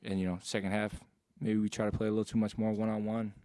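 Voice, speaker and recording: A young college basketball player fields postgame questions at a press table, talking quickly in a low, flat, laid-back way. His voice sits a bit low and sounds dry and close on the table mic. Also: no room sound. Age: young adult. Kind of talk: postgame press conference answers. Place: press conference room. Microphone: table mic on stand.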